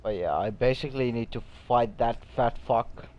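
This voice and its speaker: A man's voice: medium pitch, moderate pace, highs cut off. A man giving casual, offhand gameplay commentary as a streamer, crude and often trailing off. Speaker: game streamer.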